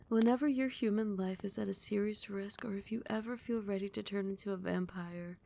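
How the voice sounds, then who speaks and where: Woman acting doting vampire queen, breathy and creaky. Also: medium pitch, mellow, lightly acted. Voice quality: breathy, often dropping into whisper. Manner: doting, soothing, affectionate pet names. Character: loving, doting vampire queen, tender and protective. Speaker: ASMR roleplay voice actress. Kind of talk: ASMR roleplay, intimate whispery murmur.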